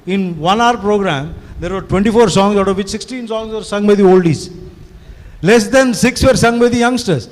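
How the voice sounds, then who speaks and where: A man's voice: high-pitched, moderate pace. A man of sixty whose first language is Tamil, chatting to an audience at a live event, rambling fluently with many "you know"s. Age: 60s. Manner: rambling, fluent, mixes Hindi and English, frequent "you know". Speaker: event organizer and speaker. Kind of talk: conversational talk to an audience. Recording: long hall reverb.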